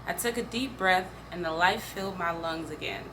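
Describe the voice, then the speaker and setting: A woman's voice: lowish voice, normal speed, a bit bright, poor audio. A woman reading spoken-word poetry aloud in an earnest, emphatic way. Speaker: poetry reader. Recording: faint background noise.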